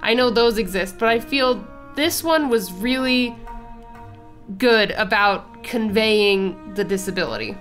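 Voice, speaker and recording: A woman's voice: fairly high pitch, animated, a little slow, fairly bright tone, faint music behind. A young woman hosting a casual art channel, talking as she draws, slightly nasal and congested from a cold, with a chatty, rambling way of talking. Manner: chatty, rambling fan talk. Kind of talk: casual chat over drawing.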